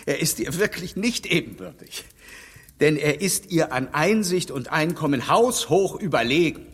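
An actor playing an outspoken gentleman in a radio play, talking frankly and with reproach. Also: fairly high pitch, breathy edge, big-room sound. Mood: scornful. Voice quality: frank, lively, reproving manner. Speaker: Mister Knightley.

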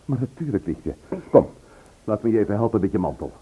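A man acting in a Dutch radio play as a composed, probing gentleman investigator who speaks with measured care. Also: lowish voice, big pitch swings, loud music behind the voice. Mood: concerned, soothing. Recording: muffled narrow-band old radio recording.

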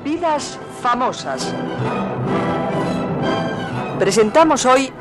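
An actress as the grand announcer of an old Spanish radio serial, presenting in a formal theatrical way. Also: female voice, high-pitched, sing-song, drawn-out words, heavily acted. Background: loud orchestral music with violins.

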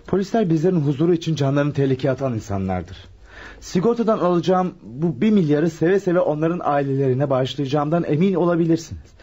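Mellow, husky amateur sleuth. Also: male voice, on the high side, animated, brisk. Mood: self-justifying. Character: shrewd, self-assured man playing amateur detective. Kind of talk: Turkish radio drama acting. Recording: muffled lo-fi radio drama recording.

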